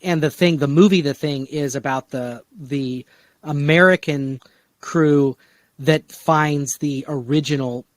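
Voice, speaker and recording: A man casually brainstorming on a discussion podcast, talking in a halting way with vague, trailing asides. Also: on the high side, dry recording. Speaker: podcast co-host pitching story ideas.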